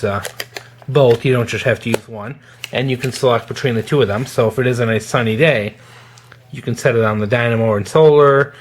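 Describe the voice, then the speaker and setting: A man giving a casual, hands-on product demonstration in an informal, halting way as a gear reviewer. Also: medium pitch.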